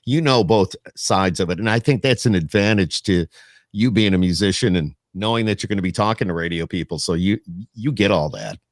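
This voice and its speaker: A man's voice: sits low, fairly quick, no room sound. Casual male podcast host, dry close sound. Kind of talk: casual interview chat.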